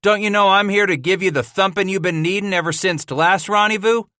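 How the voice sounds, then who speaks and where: A man's voice: high-pitched, brisk, bright, forceful tone, no room sound, studio quality, pitched above the performer's own voice. A man voicing a scrappy frontier trapper who talks in a folksy backwoods drawl. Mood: gleeful.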